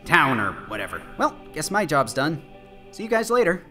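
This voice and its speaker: A clueless young hero acted by a man talks in a bright voice with lively swings of pitch. He sounds self-important and flippant, a lazy game hero in a cartoon parody over music. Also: moderate pace, full character voice. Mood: nonchalant. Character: cocky, dim-witted, lazy boy hero. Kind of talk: parody game dub acting.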